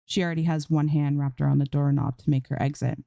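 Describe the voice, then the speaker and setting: A woman's voice: sits low, flat delivery, fast, creaky, mellow, no echo, dull audio. A woman reading a story aloud as an amateur narrator, in scripted prose. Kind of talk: scripted fan fiction narration.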